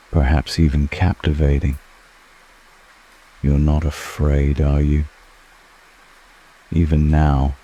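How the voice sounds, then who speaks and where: Guy doing an ancient vampire for asmr, super low dark voice, slow n intimate, formal, seductive, kinda lonely. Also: a little hiss or hum, low-quality recording. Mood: wistful, intrigued. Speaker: ASMR roleplay performer. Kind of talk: scripted ASMR roleplay.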